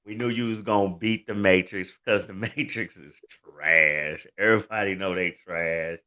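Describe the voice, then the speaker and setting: Brash trash-talking man, bright voice. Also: sits low, steady tone, normal speed. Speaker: podcast co-host. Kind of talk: fantasy football banter. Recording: dull lo-fi mic.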